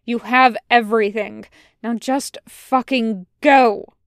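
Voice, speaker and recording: Woman acting a bitter, broken male soldier, clear-voiced. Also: a little high, fairly even intonation, slow, no room sound, clean studio sound. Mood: furious, snapping. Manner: snapping outbursts, clipped curt replies. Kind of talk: dramatic fan fiction reading.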